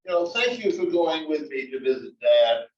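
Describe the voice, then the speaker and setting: Man playing a skeptical older husband, lively pitch. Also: normal speed. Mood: glum. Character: skeptical, grumbling older husband, dryly humorous. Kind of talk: table read of a comedy play. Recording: roomy, distant, dull library recording.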